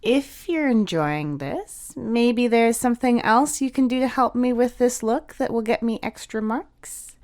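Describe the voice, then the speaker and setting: A woman's voice: on the high side, animated, unhurried, hardly any pauses, a little mellow, voice pushed up. A woman voicing a girlish, mischievous big sister in a storybook, teasing and encouraging with a casual manner.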